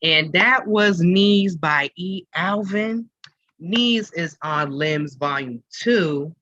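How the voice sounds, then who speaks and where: Male music show host, casual and halting, forceful bright tone, dry but slightly muffled lo-fi recording. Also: high voice, no echo.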